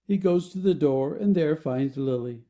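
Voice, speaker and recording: A male narrator reads scripted stage directions for an audio play. His voice is very soft-edged and dark in tone, yet sits a little high for a man. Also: normal speed.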